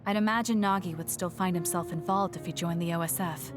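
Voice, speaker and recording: A woman voicing a composed, blunt young female soldier in an anime game dub, measured and reserved. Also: on the low side, fairly even intonation, natural voice. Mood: calm, consoling. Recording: music playing behind the voice. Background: game background music.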